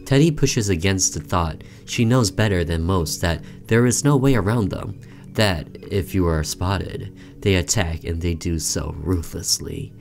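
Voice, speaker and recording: A man's voice: deep voice, a little slow, vocal fry, a little mellow, soft background music. A man hosting a gaming video, reading story text aloud like a storyteller and adding casual reactions.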